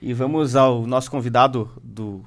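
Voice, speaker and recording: Podcast host guy, mid pitch, welcoming, reads the sponsors. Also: fairly even intonation. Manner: welcoming, measured. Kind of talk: podcast host intro and interview.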